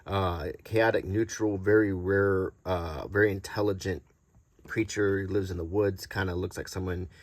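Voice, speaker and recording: A middle-aged man giving a casual, unscripted show-and-tell in a halting, murmured way, like unintentional ASMR. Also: sits low, steady tone, no room sound. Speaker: host presenting a vintage game card collection. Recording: noticeable background noise, small clicks.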